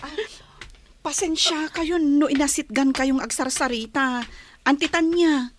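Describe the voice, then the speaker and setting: Woman as bride's earnest friend, young, Filipino accent, high pitched, audio drama. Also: a little slow, a little hiss or hum. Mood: urgent, apologetic.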